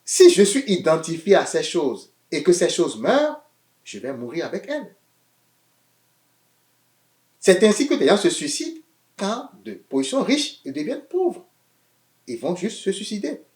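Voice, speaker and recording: Animated French-speaking preacher man, echoey. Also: high voice, unhurried, pauses often. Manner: animated, swings between loud emphasis and quiet asides, repeats words. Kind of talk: sermon.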